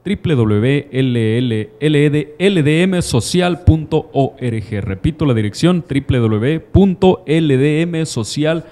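A man's voice: on the high side, expressive, slow, few pauses, dark tone. A young man presenting live religious news into a handheld microphone, formal and devout. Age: young adult. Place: TV studio.

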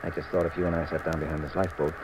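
An actor playing a teasing, easygoing detective husband in a mid-century radio mystery. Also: male voice, very deep voice, little pitch movement, very fast. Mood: teasing, romantic. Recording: noisy old radio recording.

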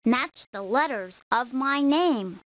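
A woman reading children's verse from a script with measured, simple read-aloud delivery. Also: sits high, animated, heavy background noise. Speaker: storybook reader.

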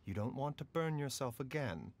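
A man voicing a calm, courteous doctor who speaks in a measured, formal way. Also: medium pitch, noisy background, close to an everyday voice. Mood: composed. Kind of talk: video game voice acting. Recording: game audio with soft music.

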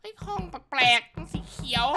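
A performer voicing a panicky, foul-mouthed little cartoon crewmate, excitable and bossy, exclaiming and repeating words in frantic bursts. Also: moderate pace, background noise, natural voice. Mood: curious, wary. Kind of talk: animated cartoon parody dubbing. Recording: loud music over the voice.

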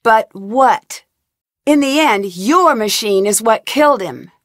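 A woman's voice: a little high, expressive, slow, dry recording, studio quality. A woman voicing a young woman detective in game voice lines, asking earnest, inquisitive questions in a polite tone. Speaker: voice actor. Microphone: studio mic, dry.

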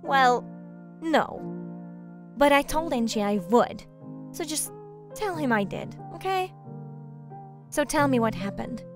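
A bubbly, caring spider demon girl, voiced by a woman for a cartoon audio drama. Her voice is high and very lively, with a reassuring way of talking and music underneath. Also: slow pace, pauses often, full character voice. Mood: gentle concern. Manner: reassuring, expressive.

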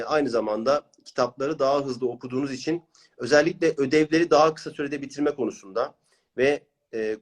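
Encouraging man hosting an interview. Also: mid-range voice. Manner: encouraging, praising. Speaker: host, speed-reading coach. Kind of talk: interviewing clients, explaining a course.

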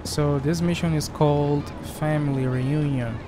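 A man's voice: a little high, noisy background. A man whose first language is Portuguese, casually commenting while playing a game and grumbling at it. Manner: relaxed, chatty, quick to grumble at the game. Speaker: gameplay streamer. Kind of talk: casual let's-play commentary. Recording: game music playing behind.

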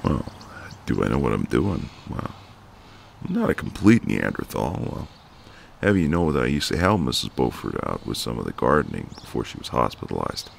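A man playing a very deep, gravelly flirty neighbour. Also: sing-song, moderate pace, a little hiss or hum, exaggerated voice. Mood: teasing, cocky. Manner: teasing, drawling, intimate, folksy. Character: flirty, cocky, gentle ex-marine neighbour, adult man. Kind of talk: ASMR romantic roleplay.

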